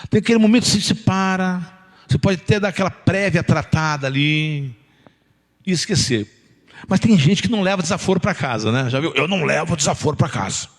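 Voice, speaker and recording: A man's voice: high voice, expressive, fairly quick. Brazilian man preaching in a reverberant hall. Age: middle-aged. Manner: conversational and jocular, with rhetorical questions and tag questions. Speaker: pastor preaching. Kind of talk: informal sermon with anecdotes. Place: church building, preaching to a congregation. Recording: long reverberant hall sound.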